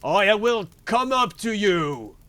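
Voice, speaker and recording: A man's voice: on the low side, no room sound, lightly acted. A man performs a menacing, tyrannical husband in a storybook tale. He is stern and commanding, stretching out his words with heavy, merciless emphasis. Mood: bellowing. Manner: commanding, drawn-out emphatic shouts. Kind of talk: dramatic fairy-tale storybook reading.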